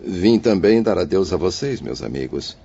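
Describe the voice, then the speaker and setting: A man's voice: sits low, lively intonation, moderate pace, vocal fry, mellow, slightly rough, full character voice. A man playing a remorseful, solemn male spirit awaiting rebirth, speaking formally in an audiobook drama. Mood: resolved, cordial. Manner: grave, formal, declamatory. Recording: dull lo-fi audio with music behind.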